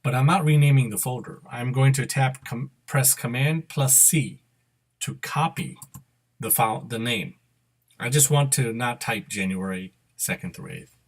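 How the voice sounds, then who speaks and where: Middle-aged man giving halting software instructions. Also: medium pitch. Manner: unscripted, halting, self-correcting instruction. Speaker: software tutorial presenter. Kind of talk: step-by-step screen demo narration. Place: indoor room at a computer.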